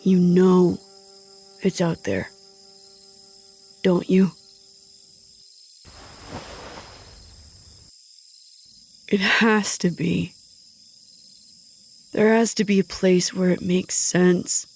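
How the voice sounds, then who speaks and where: Quiet, halting young woman, slow and sorrowful. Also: fairly low pitch, steady tone, breathy edge, soft background music, full character voice. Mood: haunted. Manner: quiet and halting, at times whispered. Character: young woman leaving her friends, sad, apologetic. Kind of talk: audio drama acting.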